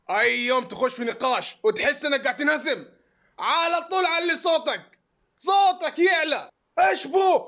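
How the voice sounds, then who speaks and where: A man on a loud comic rant, breaking into shouts. His voice is extremely high, bright and piercing.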